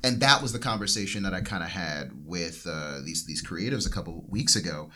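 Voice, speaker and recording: A man's voice: deep voice, expressive, brisk, pushed and bright. A young man hosting a casual podcast discussion, rambling and correcting himself as he talks. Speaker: podcast host. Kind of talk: casual panel chat.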